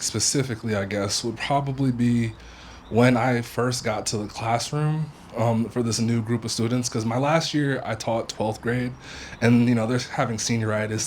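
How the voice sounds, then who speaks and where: A young man with a loud voice, a writing teacher giving a loose, chatty reflection to a gathering in someone's home. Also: medium pitch, moderate pace, slight background noise. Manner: loose, chatty, many "like" fillers. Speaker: creative writing teaching fellow. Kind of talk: casual spoken reflection to a live gathering. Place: living room of a private home.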